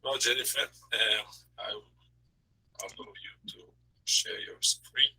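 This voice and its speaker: Raspy, sharp-voiced male host. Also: medium pitch, fairly even intonation, low-quality recording. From Brazil. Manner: halting, with frequent tag questions. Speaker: webinar host. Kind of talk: introducing a speaker. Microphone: video call.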